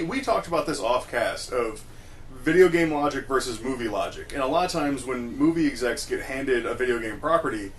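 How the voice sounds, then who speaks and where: A male podcast co-host in casual film talk, mid-pitched and explanatory. He works through his points by restating them, with noticeable noise behind him. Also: a bit bright. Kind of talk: casual opinionated film discussion.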